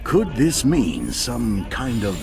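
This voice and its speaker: An actor playing a pompous, sensationalist TV news anchor in a video game newscast, theatrical in delivery. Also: male voice, mid-range voice, huge pitch swings, slow pace, creaky, over music, exaggerated voice. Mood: pompous, dramatic.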